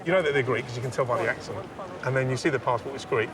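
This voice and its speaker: A man's voice: mid-range voice, steady tone, racing, far from the mic, crowd noise. A man working as an airport passenger service agent, chatting casually with a friendly, laughing manner. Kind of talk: casual chat at work. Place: busy airport terminal.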